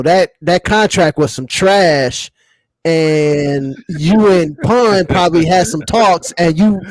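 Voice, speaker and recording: A man with a fairly high voice talks casually on a panel show, in a close, dry sound. He explains points step by step in slangy language and often tags them with a questioning "right?". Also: no echo, low-quality recording. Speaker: podcast panelist.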